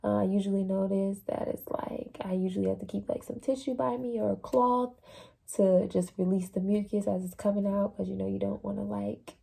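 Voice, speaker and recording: A young adult woman talking casually about her experience in a personal vlog, relaxed and chatty with plenty of fillers. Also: mid-range voice, a little fast, creaky, breathy edge. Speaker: vlogger sharing a fasting journey.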